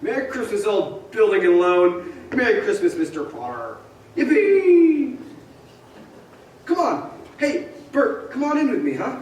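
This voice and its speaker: A man's voice: sits high, big pitch swings, a little slow, a little mellow. An actor playing an overjoyed, frantic family man in a live stage radio play, exclaiming breathlessly. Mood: giddy joy. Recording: roomy theater stage, distant mic, faint noise.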